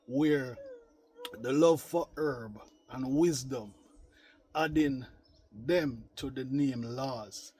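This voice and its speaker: Slow-talking Jamaican man. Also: medium pitch, a little mellow, slightly rough. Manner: measured, philosophical, with repeated phrases for emphasis. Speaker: gardening vlog host. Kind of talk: reflective, unscripted talk to viewers.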